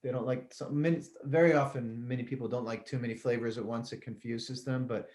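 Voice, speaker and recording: A man's voice: medium pitch, steady tone, a little fast, darkish tone. A middle-aged man from Connecticut, a musician guest talking casually in an interview, rambling and laughing easily.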